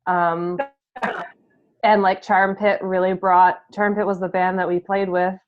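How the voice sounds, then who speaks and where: A woman's voice: on the low side, level tone, unhurried, soft background music. A woman in a band chatting enthusiastically and slangily in an interview. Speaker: band member being interviewed. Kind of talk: casual interview chat. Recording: slightly dull, lo-fi sound.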